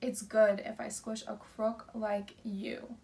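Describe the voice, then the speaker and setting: A woman's voice: mid-range voice, flat delivery, slow pace, vocal fry, darkish tone, distant mic. A woman voicing a boastful, dismissive young boy in a rhyming storybook, with a taunting sing-song delivery. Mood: accusing.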